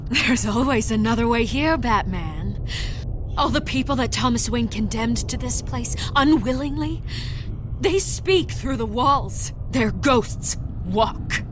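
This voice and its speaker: A woman's voice: on the high side, animated, background noise. A woman playing a vengeful, taunting masked cult villain in a video game, theatrical and menacing. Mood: eerie menace. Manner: theatrical, menacing, drawn-out delivery. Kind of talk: dramatic video game acting. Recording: loud music over the voice.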